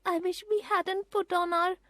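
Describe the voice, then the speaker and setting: A woman's voice: very high voice, level tone, normal speed, a bit bright, no room sound, studio quality, voice pushed up. A woman doing a fretful, flustered young girl.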